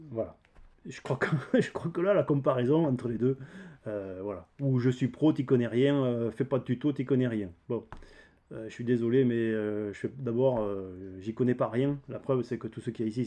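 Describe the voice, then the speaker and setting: A man's voice: medium pitch, normal speed, warm tone. A man with a southern French accent talking casually and unscripted as a DIY channel host, explaining things in a hesitant, rambling way. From south of France. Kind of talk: casual unscripted vlog talk.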